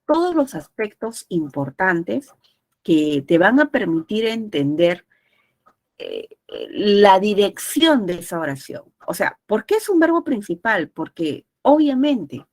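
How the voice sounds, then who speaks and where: A woman's voice: mid-range voice, darkish tone. A woman teaching an online class with a nose congested from a cold, explaining didactically and often checking understanding. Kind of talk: explanatory lecture with frequent check-in questions.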